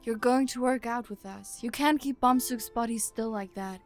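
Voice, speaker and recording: A woman playing a curt, commanding, quick-tempered young pop group member in an audio drama. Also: a little high, faint music behind, lightly acted. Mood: stern.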